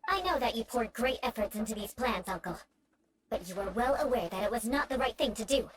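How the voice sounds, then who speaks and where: A woman's voice: on the high side, expressive, fairly quick, crowd noise, lightly acted. An actress playing a proud young noblewoman knight in a video game, cool, poised and dryly defiant. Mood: firm, composed. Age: young adult.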